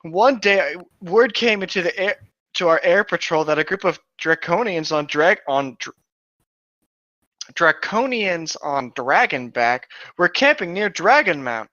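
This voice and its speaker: A proud, wounded griffin, acted out by a man tells his tale solemnly and haltingly. The voice is bright and strained, close and dry, with a slightly muffled lo-fi sound. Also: high voice, lively intonation, dry recording, exaggerated voice.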